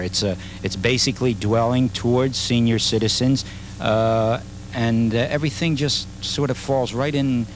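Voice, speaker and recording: A middle-aged restaurant manager interviewed on camera, rambling with many fillers and restarts. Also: male voice, medium pitch, a little hiss or hum, low-quality recording. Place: indoor dinner theater stage. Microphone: handheld mic.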